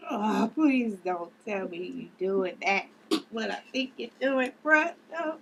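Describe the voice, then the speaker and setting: A woman's voice: mid-range voice, lively intonation, takes it slowly, creaky, heavy background noise. A woman at a table read playing a brash, bossy, street-talking vigilante sister. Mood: exasperated, mocking.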